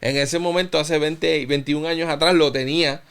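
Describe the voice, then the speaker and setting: A man in his thirties from Cuba, speaking Spanish with a Puerto Rican accent, tells his story as a guest in a slow, reflective and earnest way, often restarting his phrases. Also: fairly high pitch, fairly even intonation, a bit bright, clean tone. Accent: Puerto Rican Spanish, faint Cuban trace. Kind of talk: personal testimony in an interview.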